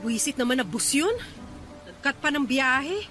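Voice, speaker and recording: A woman's voice: high-pitched, big pitch swings, measured pace, music in the background. A woman playing a young woman stranded at night after a bus cut its route, complaining in exasperation in a radio drama. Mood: exasperated.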